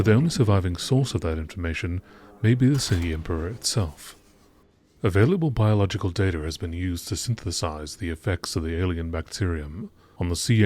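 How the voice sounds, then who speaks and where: A man reading scripted narration in a nature documentary style, in a measured, formal manner. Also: low voice, darkish tone, slight background noise. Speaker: narrator reading game text.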